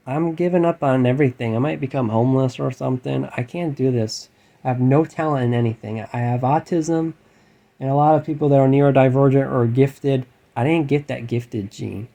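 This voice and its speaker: Brief: thirty-something man, light androgynous voice, mid-range pitch, rambling self-deprecating late-night rant. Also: vocal fry. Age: 30s. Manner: frustrated, self-deprecating, trailing off and restarting; keeps his voice down, with loud bursts. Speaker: musician and vlogger.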